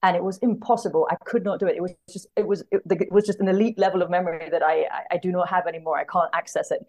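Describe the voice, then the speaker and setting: A woman speaking casually as a podcast guest in an interview, thoughtful and halting as she works out her phrasing. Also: fairly low pitch, steady tone, dark tone. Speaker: podcast guest, literary translator.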